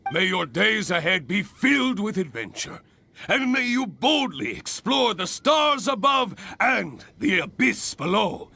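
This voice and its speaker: An actor playing a theatrical, enthusiastic adventurers guild master in a video game, with a grandiose and effusive delivery. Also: male voice, high-pitched, sing-song, unhurried, gravelly, sharp tone, breathy edge, no room sound, exaggerated voice. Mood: grand, triumphant. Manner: grandiose, effusive, pleading persuasively. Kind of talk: video game character acting.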